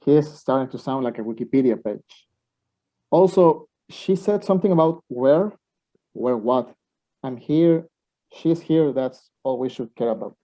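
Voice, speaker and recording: A man's voice: mid-range voice, lively intonation, measured pace, mellow, lightly acted. A man playing a baffled, sarcastic young student in a radio play, sounding incredulous. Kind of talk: amateur radio play acting. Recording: dull, muffled lo-fi microphone.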